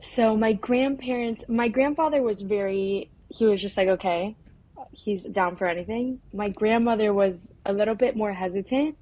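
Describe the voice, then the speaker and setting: A young woman from the United States answering interview questions as a documentary filmmaker, in a casual, halting, informal way full of filler words. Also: mid-range voice, mellow, low-quality recording. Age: young adult.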